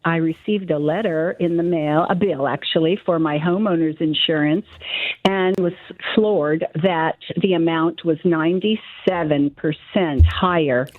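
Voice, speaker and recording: A woman's voice: sits low, unhurried, dry recording. Woman caller on a tinny phone line. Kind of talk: call-in chat.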